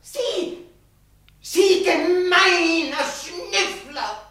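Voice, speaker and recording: Radio drama, frail old aunt, accusing and shrill, extremely slow delivery, heavily reverberant room. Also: female voice, fairly bright tone, full character voice. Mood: shocked fury. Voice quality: high, shrill. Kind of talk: 1960s German radio play acting. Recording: very echoey 1960 radio studio.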